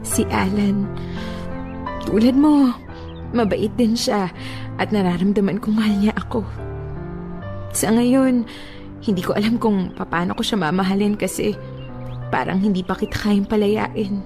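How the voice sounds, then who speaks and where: A woman's voice: medium pitch, unhurried, pauses often, lightly acted. An actress playing a tender, grieving young woman in a Filipino radio romance drama, speaking emotionally with a Filipino accent over music. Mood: grieving, pleading. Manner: emotional, heartfelt delivery. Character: grieving young woman, tender, torn about loving again. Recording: music bed under the voice.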